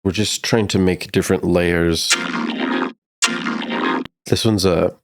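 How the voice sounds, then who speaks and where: A man's voice: low voice, fairly even intonation, slow, heavy background noise. A man giving a casual, slangy music production tutorial in a laid-back but enthusiastic way.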